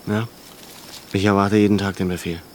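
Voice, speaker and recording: A man's voice: fairly low pitch, little pitch movement, normal speed, darkish tone, a little hiss or hum, close to an everyday voice. An actor playing a thoughtful, controlled middle-aged Armenian father and reserve officer in a radio drama. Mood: grave, calm. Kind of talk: naturalistic German radio drama acting.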